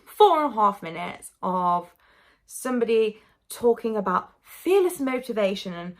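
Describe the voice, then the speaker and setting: A woman's voice: mid-range voice, big pitch swings, a little slow. Chatty woman with a stuffy nose. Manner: chatty, frank, full of fillers. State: stuffy nose from hay fever. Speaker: vlogger. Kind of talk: casual commentary reacting to clips.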